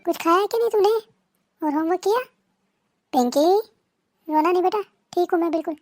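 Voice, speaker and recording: An actress playing a gravely ill young mother, soothing her crying daughter in a soft, reassuring way. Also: very high-pitched, fairly quick. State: weak, ill. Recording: faint music behind.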